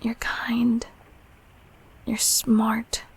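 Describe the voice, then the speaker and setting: A woman voicing a gentle, protective childhood closet monster in a comfort audio roleplay, speaking haltingly in a breathy, raspy whisper. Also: fairly high pitch, monotone, a little hiss or hum. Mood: earnest, reassuring. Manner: halting, soothing, softly spoken.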